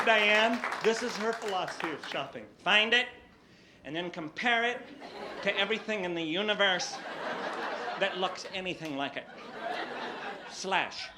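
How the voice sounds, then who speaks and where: A high, squeaky-voiced man performs live stand-up storytelling. He is animated, dropping into hushed asides and rising to loud exclamations, over clear background noise from the venue. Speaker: stand-up comedian. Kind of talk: live comedy storytelling to an audience. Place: live stage before an audience. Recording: live stage recording, noisy background.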